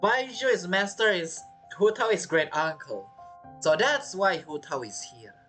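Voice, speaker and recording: A woman with a low voice streams a game in casual style. Her intonation swings widely as she comments and reacts. Game music plays behind her. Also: sing-song, measured pace, fairly bright tone.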